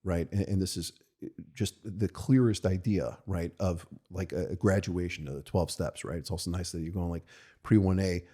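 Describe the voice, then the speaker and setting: Low, warm-toned American man talking recovery. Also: fairly even intonation. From United States. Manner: thoughtful and halting, many restarts and "right?" tags. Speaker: podcast guest, rabbi. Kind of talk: candid conversational storytelling.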